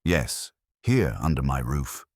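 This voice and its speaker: A man voicing a commanding, unhurried genius detective who gives formal, measured orders in an audiobook. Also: very deep voice, sing-song, a little mellow, dry recording, studio quality, heavily acted. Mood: firm, final.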